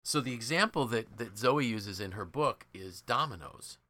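A man's voice: medium pitch, expressive, moderate pace, pushed and bright. An American man co-hosting a casual business podcast, explaining things through enthusiastic storytelling. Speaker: podcast co-host.

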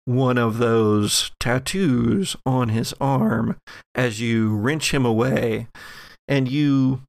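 A man acting as game master, narrating an improvised horror tabletop game in a halting, suspense-building way. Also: mid-range voice, vocal fry, dry recording, clean studio sound. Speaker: game master of an actual-play podcast.

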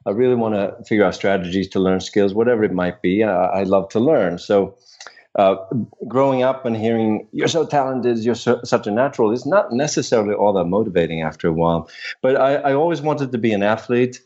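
A man's voice: lowish voice, a little fast, darkish tone, dull audio. A man with a Swedish accent, a voice coach talking about his background as a podcast guest in a reflective, storytelling way. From Sweden. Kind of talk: casual interview talk.

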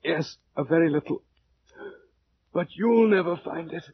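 An actor playing a jealous, vengeful ancient Egyptian murderer with theatrical, deliberate 1940s radio drama delivery. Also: male voice, fairly high pitch, gravelly. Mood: gloating. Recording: dull, noisy 1940s radio recording.

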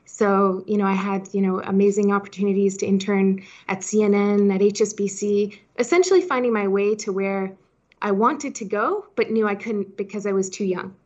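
A woman's voice: medium pitch, fairly even intonation, moderate pace, darkish tone. Woman in her thirties, chatty, muffled recording. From Switzerland-born, raised in Hong Kong. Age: 30s. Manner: chatty, peppered with "you know". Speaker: podcast guest, startup founder. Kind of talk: conversational interview answers.

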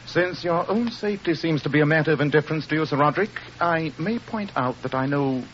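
An actor playing a shrewd, commanding English detective with a British accent, incisive and clipped in a 1940s radio drama. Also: male voice, a little high, brisk, a bit bright, close to an everyday voice. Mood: insistent. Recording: muffled, hissy vintage radio recording.

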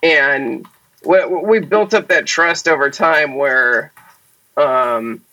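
A man hosting a podcast rambles through a long explanation, full of fillers and asides. His voice sits a bit high and sounds bright. The talk stays loose and casual. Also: takes it slowly. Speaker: drummer and podcast host.

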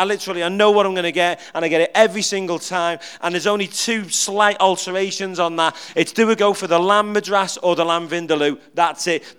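High, clear-voiced preacher, animated. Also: male voice. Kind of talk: informal humorous sermon. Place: church, speaking at the front.

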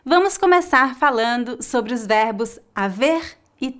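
A woman with a Brazilian accent teaching a Portuguese lesson in a slow, clear, scripted way. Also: a little high, animated, a bit bright. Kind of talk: scripted online language lesson.

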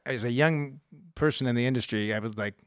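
A man's voice: medium pitch, a little fast, smooth, dry recording, clean studio sound. A middle-aged man hosting a radio finance show, talking casually, rambling with restarts and easy laughs.

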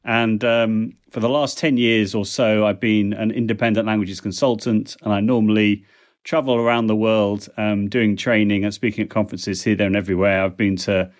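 A man's voice: fairly low pitch, fairly even intonation, moderate pace. Middle-aged man, chatty guest with hesitations. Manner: chatty and warm, with frequent "uh" and "um" and small restarts. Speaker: guest, language technology consultant. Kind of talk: relaxed interview answers.

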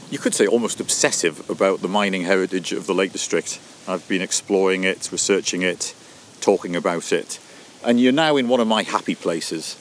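A man guest on a podcast, outdoors at old mine workings, explaining local history in a measured, informative way. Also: deep voice, slight background noise.

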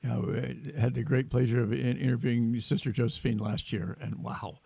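Host: gravelly, warm-toned man, halting delivery, dry close phone sound. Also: mid-range voice, steady tone, no room sound. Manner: halting, hesitant, many fillers. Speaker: interviewer. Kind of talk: casual interview.

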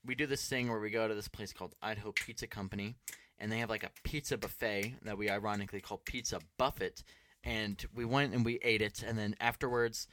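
A man's voice: lowish voice, steady tone, fairly quick, a bit bright, a little hiss or hum. A young man hosting a podcast, rambling through a story with lots of "like" and some swearing. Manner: profane, filler-heavy, lots of "like". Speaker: podcast host.

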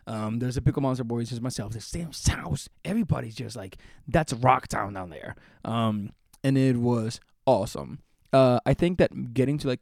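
Excitable young podcast guy. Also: mid-range voice, no echo, clean studio sound. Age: 20s. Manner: laughing, excitable, swearing. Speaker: podcast co-host. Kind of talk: casual chat.

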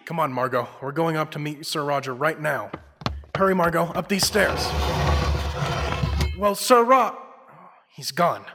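A man's voice: fairly high pitch, lively intonation, a little slow, long pauses. A man playing a bold, suave crime-fighting investigator in an old-time radio drama, speaking with theatrical confidence. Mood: bold, urgent. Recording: long reverb with faint music.

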